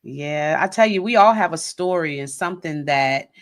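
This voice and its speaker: A middle-aged woman hosting a podcast, interviewing a guest in an expressive, chatty way. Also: deep voice, moderate pace. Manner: expressive, animated storyteller. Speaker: podcast host. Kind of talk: conversational interview.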